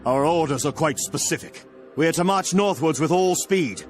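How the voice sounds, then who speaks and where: High-voiced, earnest army captain acted by a man. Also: animated, moderate pace, close to an everyday voice. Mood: formal, firm. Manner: formal, declamatory, urgent. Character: earnest, commanding human army captain in a fantasy war. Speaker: military captain. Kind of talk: dramatic video game voice acting. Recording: music behind the voice. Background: game score.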